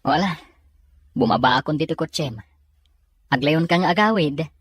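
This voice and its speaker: An actor plays a concerned, frank Filipino friend in a radio drama. The voice is husky and close, in a very dry recording. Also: male voice, fairly high pitch, animated, normal speed, no room sound. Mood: frank, worried. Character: concerned male friend giving frank advice.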